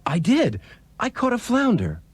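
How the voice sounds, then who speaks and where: A performer playing a meek, hesitant fisherman in a musical children's story, puzzled and deliberate. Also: mid-range voice, wildly swooping pitch, slightly rough, dry recording, exaggerated voice.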